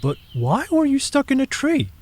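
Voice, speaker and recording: An actor playing a polite, timid young male raccoon dog in an audio drama, hesitant and gentle. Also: sits high, wildly swooping pitch, normal speed. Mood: friendly, concerned. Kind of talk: audio drama character acting.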